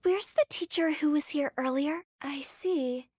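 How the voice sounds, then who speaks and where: Teenage schoolgirl, game character, high voice, courteous, measured, dry close recording. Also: dry recording. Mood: polite, inquiring. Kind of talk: video game character acting.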